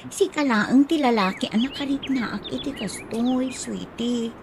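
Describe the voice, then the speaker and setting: A possessive Ilocano sweetheart in a radio comedy drama. Her voice sits on the high side, coy and cajoling, with clear background noise and birdsong. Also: measured pace. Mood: jealous, possessive. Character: jealous, possessive, sweet-talking female partner. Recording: noisy track with chirping birds.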